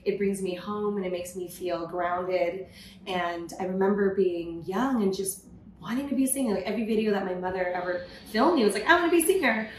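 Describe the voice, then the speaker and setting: Thirties woman guest, animated storyteller, mid pitch, roomy sound. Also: brisk. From United States. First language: Thai. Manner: animated, laughs often, quotes herself in bright voice. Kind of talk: casual interview talk. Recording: echoey room, faint noise.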